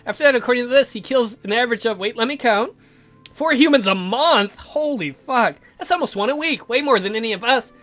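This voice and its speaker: A man's voice: very high voice, expressive, brisk, fairly bright tone, voice pushed up. A man voicing an obliging female vampire cousin in a visual novel, with a casual, chatty manner. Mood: amazed chatty.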